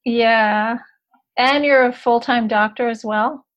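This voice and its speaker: A woman's voice: on the high side, fairly even intonation, slow pace, dull audio. A woman interviewer asking questions and encouraging her guests with "right, right.". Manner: encouraging, frequent "right, right" and "mm-hmm".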